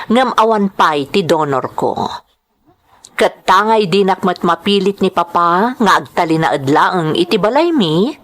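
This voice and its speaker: A woman playing a haughty, short-tempered young rich woman in an audio drama, with a scolding, imperious manner. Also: mid-range voice. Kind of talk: Ilocano audio drama acting.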